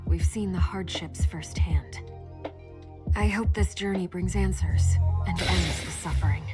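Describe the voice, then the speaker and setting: Woman acting lower-pitched caring game character. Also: unhurried, close to an everyday voice. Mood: solemn concern. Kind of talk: scripted video game voice acting. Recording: loud music under the voice.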